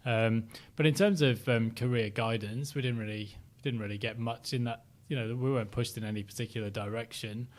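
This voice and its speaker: Hesitant middle-aged man with softened northern English accent. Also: mid-range voice, fairly even intonation, a little fast, hardly any pauses. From Sheffield, South Yorkshire, England. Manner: hesitant, many ums and restarts, reflective. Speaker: interview guest. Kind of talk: casual interview chat.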